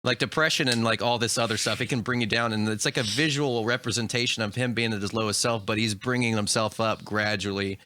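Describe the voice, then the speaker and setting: Bright, dry-sounding man in casual debate. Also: on the low side, steady tone, quick pace, hardly any pauses, no echo. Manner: hesitant, repeats words, says "like" constantly. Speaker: podcast panellist discussing a film. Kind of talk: casual film discussion chat.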